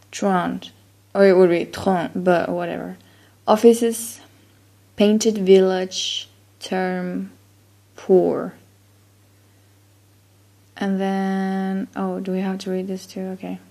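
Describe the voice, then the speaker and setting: A woman's voice: mid-range voice, vocal fry, darkish tone. A woman from Chile, a native Spanish speaker with a Chilean accent, reads a word list casually and a bit unsure, trailing off with fillers. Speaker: accent-tag vlogger.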